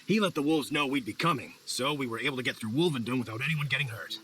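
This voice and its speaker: A man's voice: medium pitch, fast, pushed and bright, natural voice. A man playing a friendly, caring middle-aged hunter in a video game, talking in a thoughtful, fatherly, conversational way. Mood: upbeat. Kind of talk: video game character acting.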